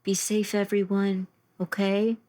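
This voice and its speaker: A man voicing a small, protective female winged creature in a tabletop game, speaking gently and earnestly. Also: medium pitch, completely flat delivery, a little slow, pitched above the performer's own voice, lightly acted. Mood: concerned.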